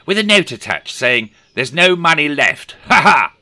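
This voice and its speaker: Man performing a high, impassioned reformed miser. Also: big pitch swings, moderate pace, sharp tone, no room sound, exaggerated voice. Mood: giddy, exuberant. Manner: theatrical, exclamatory. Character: frightened, pleading miser prime minister turned gleefully reformed. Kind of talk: dramatic audiobook character reading.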